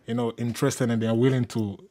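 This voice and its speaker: A man's voice: medium pitch, level tone, fast talker, mellow. Emphatic man, repeats words. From Nigeria. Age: late 20s. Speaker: guest. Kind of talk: radio interview answers. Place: radio studio.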